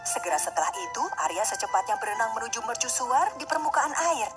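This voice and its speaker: A woman with a fairly high voice narrates a children's fairy tale from a script. Music plays behind her voice. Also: big pitch swings, fast. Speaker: storyteller, narrator. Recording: music under the voice.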